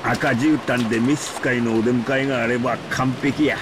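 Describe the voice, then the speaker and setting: A man's voice: mid-range voice, steady tone, quick pace, background noise, close to an everyday voice. An actor playing a jovial middle-aged man with a Kansai accent, talking in a hearty, joking way. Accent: Kansai Japanese.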